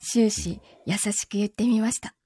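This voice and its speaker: A woman's voice: mid-range voice, normal speed, mellow, slightly rough, dry recording, clean studio sound. Giggly woman chatting on a live stream. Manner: giggly, laughing often. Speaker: voice drama performer and live stream host. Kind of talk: casual live stream chat.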